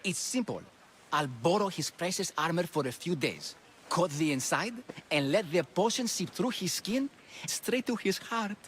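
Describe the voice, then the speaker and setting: An actor playing a gushing, lovesick ancient Greek athlete in a video game, fretful and theatrical. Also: male voice, high-pitched, expressive, normal speed, a little hiss or hum. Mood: scheming, besotted. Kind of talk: video game cutscene acting.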